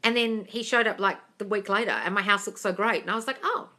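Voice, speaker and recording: Woman from England, livestream host, bright voice, blunt and sweary, reads out chat and answers, calls everyone darling. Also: mid-range voice, fast talker. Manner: reads chat comments aloud, then answers them. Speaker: manifesting coach answering live chat questions. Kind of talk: casual livestream Q&A. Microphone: phone livestream.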